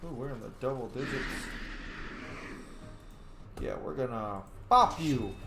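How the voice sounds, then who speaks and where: A man talks through his role-playing game session in an easy, excitable, off-the-cuff way, now and then swearing at the game. His voice sits at an ordinary pitch and rings in a roomy space. Game music plays clearly behind him. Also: unhurried. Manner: chatty, excitable, swears freely. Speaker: gameplay commentator. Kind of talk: casual let's-play commentary. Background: video game music and sound effects.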